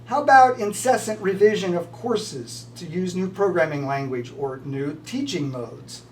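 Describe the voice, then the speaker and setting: A man's voice: high-pitched, lively intonation, unhurried. An older man, a retiring college professor, giving prepared farewell remarks. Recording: distant mic with slight background noise.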